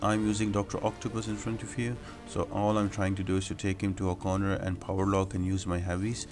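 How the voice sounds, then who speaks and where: A man's voice: sits low, monotone, fairly quick, over music. A man giving instructional, explanatory commentary as the host of a video game guide.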